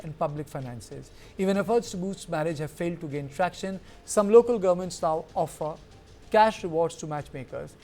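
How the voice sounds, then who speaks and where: A man's voice: a little high, darkish tone. A man reading a scripted news voice-over in a formal, emphatic manner. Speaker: news narrator.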